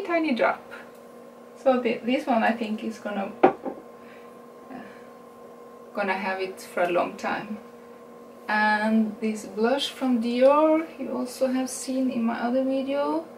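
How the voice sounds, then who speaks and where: Slow, chatty Norwegian-accented woman vlogger. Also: fairly high pitch, far from the mic. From Norway. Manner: unhurried, chatty, self-correcting, laughs at her own English. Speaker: beauty vlogger. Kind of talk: casual makeup haul review. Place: small room indoors. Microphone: camera mic at a distance. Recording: roomy sound with a steady background hiss.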